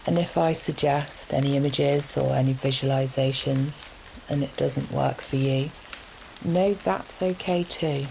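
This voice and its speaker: A woman leading a guided relaxation meditation in a gentle, soothing manner, leaving long unhurried pauses between phrases. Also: extremely low pitch, vocal fry, slight background noise, rough recording. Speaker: yoga nidra meditation guide. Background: steady rain sounds.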